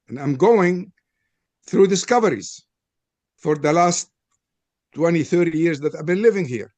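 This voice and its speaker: Older lebanese guy, arabic first language, earnest panel talk, fairly high voice, dry sound. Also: dry recording. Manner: earnest, frank, personal storytelling. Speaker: panel presenter. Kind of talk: interfaith panel statement.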